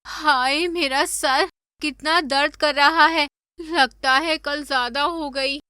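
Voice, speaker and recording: Woman playing a high-voiced, brash young wife. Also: steady tone, takes it slowly, pushed and bright, no room sound, studio quality, heavily acted. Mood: pleading. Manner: sassy, blunt. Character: brash, cheeky rich young wife who drinks beer. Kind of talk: animated moral story dialogue.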